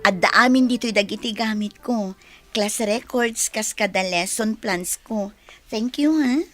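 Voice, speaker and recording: A woman's voice: medium pitch, unhurried, fairly bright tone, faint music behind, natural voice. A woman acting in a radio drama as a friendly young schoolteacher, effusive and grateful in manner. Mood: surprised, grateful.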